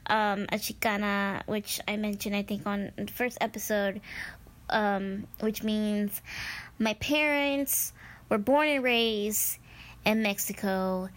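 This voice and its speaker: A woman's voice: medium pitch, steady tone, slow, rough recording. A young woman from the American Midwest, whose first language was Spanish, hosting her own podcast in a chatty, upbeat way with many ums and restarts. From United States, Midwest. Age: young adult. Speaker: podcast host. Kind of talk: casual solo podcast talk.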